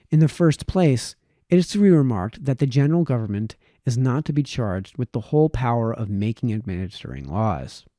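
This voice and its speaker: Mellow-voiced man reading aloud, dry sound. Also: mid-range voice, no echo. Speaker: reader, host of a reading series. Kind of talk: reading a historical political essay aloud.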